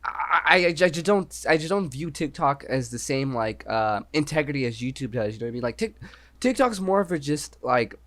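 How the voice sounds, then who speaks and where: A young man co-hosts a casual podcast chat in a middle-pitched voice. He rambles loosely and keeps checking in with "you know what I mean" as he talks. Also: animated, a little fast, a bit bright. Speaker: podcast co-host.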